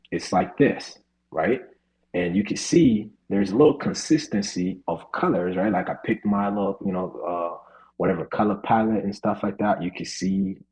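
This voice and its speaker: A man's voice: deep voice, darkish tone, rough recording. A man teaching a live online coding class over a video call, talking casually with lots of "right?" and "you know". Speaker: coding instructor.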